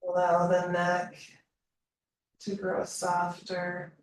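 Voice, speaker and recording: A woman leading a slow guided meditation in a calm, soothing manner, leaving long pauses between phrases. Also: on the low side. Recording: roomy echo, distant mic, faint noise.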